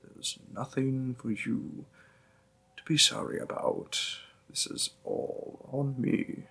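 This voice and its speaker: A man playing a gentle, doting demon boyfriend in an intimate audio roleplay, speaking tenderly in a hushed, husky, creaky voice. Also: mid-range voice, fairly even intonation, heavily acted. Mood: soothing, apologetic. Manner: slow, hushed, tender, with pauses.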